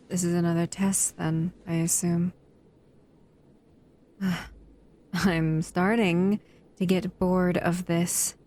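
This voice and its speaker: A woman voicing a bored, predatory female humanoid monster who talks in a slow, teasing, condescending way in an audio roleplay. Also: lowish voice, mellow. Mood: curious, bored.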